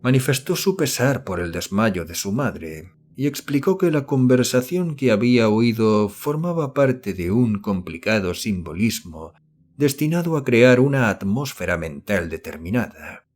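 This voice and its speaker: A man narrates a horror audiobook in a mid-pitched voice. He reads scripted literary prose as a storyteller, in the measured form of a novel read aloud. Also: expressive, a little mellow.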